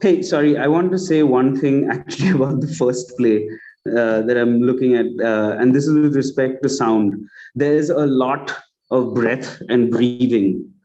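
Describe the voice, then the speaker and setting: A man with an Indian accent offers critique in a conversational way. His voice is soft-edged and dark, sitting at an ordinary male pitch. The sound is dull and lo-fi, as on an online call. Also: normal speed. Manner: conversational, acts out example lines. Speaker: judge giving feedback on student audio plays. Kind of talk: spoken critique on an online event.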